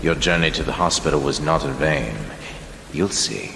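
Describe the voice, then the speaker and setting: A man's voice: rumbling low, normal speed, vocal fry, sharp tone, full character voice. A man playing a commanding, intense mystic mentor in a game cutscene, with portentous, dramatic delivery in a cavernous, noisy recording. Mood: solemn, instructing. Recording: cavernous long echo with heavy noise.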